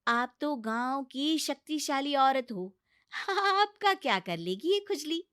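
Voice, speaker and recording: A sarcastic young daughter-in-law, put on by a woman narrator in a comic cartoon. The voice is high, bright and very dry. She nags and pleads in exasperation, with teasing comebacks. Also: lively intonation, takes it slowly, no echo, full character voice. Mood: teasing, sly. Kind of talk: comic Hindi cartoon story acting.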